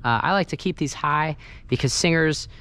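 A man's voice: fairly high pitch, normal speed, a bit bright. A man giving a casual, hands-on tutorial as a sound engineer, talking informally while he works. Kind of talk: casual first-person tutorial walkthrough. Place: large carpeted banquet hall with wooden dance floor. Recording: noisy room ambience, clearly audible. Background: room noise and ambience.

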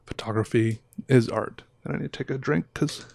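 A man in his thirties talks in a loose, rambling way, often cutting himself off mid-sentence. His voice has a gravelly edge and a slightly sore throat. It is recorded close on a headset mic in a small, dry room. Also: medium pitch, a little fast, darkish tone, no echo. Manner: rambling, self-interrupting, chatty. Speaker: artist reviewing viewer art. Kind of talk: casual livestream commentary. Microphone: headset with boom mic.

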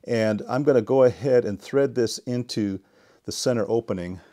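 A middle-aged man giving a casual, deliberate, step-by-step hands-on repair tutorial. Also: medium pitch, mellow. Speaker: DIY repair demonstrator. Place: indoor home workshop.

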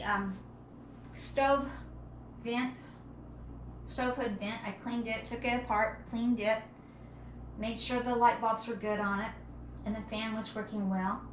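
A middle-aged woman talks casually to a camera across her kitchen, explaining each step plainly. Her voice sits fairly high. The room adds a noticeable echo, and there is clear background noise behind her. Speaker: home cleaning vlogger. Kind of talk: casual instructional vlog talk to camera. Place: home kitchen, indoors. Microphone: camera a few metres away.

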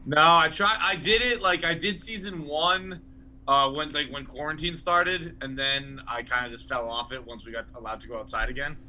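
A man joins a casual podcast over a video call, his voice bright and fairly high. He talks animatedly, with faint noise on the line. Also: steady tone, normal speed. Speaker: guest.

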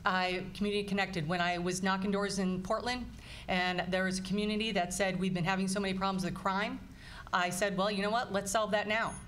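A woman in her forties delivers an earnest, upbeat campaign speech at a candidate forum. She talks into a table mic in a meeting room with a small audience, with a faint hiss in the background. Her pitch sits in the middle range for a woman. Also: little pitch movement, a little fast, a bit bright, rough recording. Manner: earnest, upbeat, emphatic lists. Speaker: political candidate.

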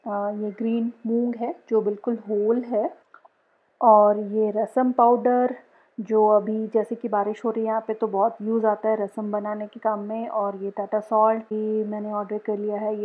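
A woman in her thirties chatting casually at home about her monthly groceries, pausing often with fillers and slipping into English phrases. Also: mid-range voice, breathy edge, phone-quality sound. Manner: relaxed, mixes English phrases, many pauses and fillers. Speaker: vlogger. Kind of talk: casual grocery haul narration. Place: home, indoors.

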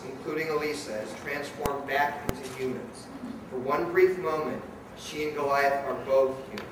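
A man reading dramatic scripted narration as the narrator of a live radio play. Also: mid-range voice. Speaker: narrator and director. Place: event hall before an audience. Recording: very echoey, distant, noisy live hall.

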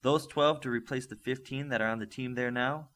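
A man's voice: mid-range voice, steady tone, brisk, fairly bright tone, natural voice. A man voicing a proud, eager male superhero team coach in an audiobook, chatty and emphatic. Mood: collegial.

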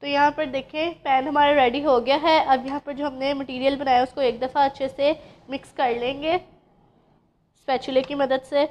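A woman's voice: high voice, level tone, moderate pace, muffled recording. A woman from Pakistan, patiently explaining a recipe step by step as a cooking channel host. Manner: patient, instructive, addresses viewers directly.

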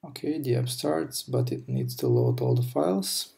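A man talking through a coding tutorial over a screen recording, explaining step by step with pauses and self-corrections. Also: mid-range voice, wildly swooping pitch, gravelly, warm tone. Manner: slow, halting explanation with fillers and self-corrections. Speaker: programming tutor.

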